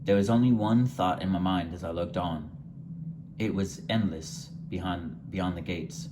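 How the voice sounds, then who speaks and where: A man with a very low, deep voice reads his own short story in a soft, unhurried manner, stumbling now and then over a word. A steady hiss of background noise runs under his reading. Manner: unhurried, gentle storytelling read from a page with occasional stumbles. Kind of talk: first-person short story read aloud.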